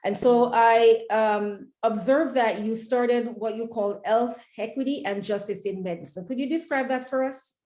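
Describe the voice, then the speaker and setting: A woman hosting an interview, introducing her guest in a halting way with many fillers. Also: fairly high pitch, mellow, echoey room. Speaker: host.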